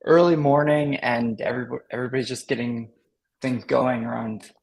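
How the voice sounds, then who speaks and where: A man's voice: medium pitch, fairly even intonation, moderate pace, creaky, darkish tone, rough recording. An American man, a marketing educator and guest, chatting casually and leaning on "like" with frequent restarts. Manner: chatty, leans on "like", restarts words. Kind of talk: casual interview chat.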